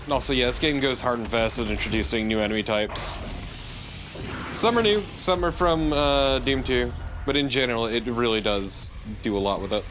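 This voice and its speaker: A man's voice: medium pitch, moderate pace, background noise. A man giving casual co-commentary on a video game, with a wry way of talking. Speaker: let's play co-commentator.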